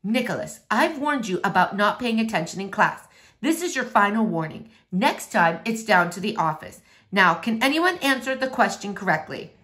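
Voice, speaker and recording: A woman's voice: medium pitch, expressive, moderate pace, fairly bright tone, close to an everyday voice. A woman voicing a stern, sarcastic female English teacher in a storybook, clipped and pointed. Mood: stern warning.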